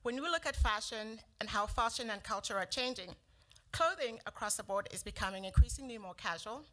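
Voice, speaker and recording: A middle-aged woman from South Africa whose first language is Sepedi, delivering a prepared startup pitch in a measured, earnest way. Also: animated, fairly bright tone.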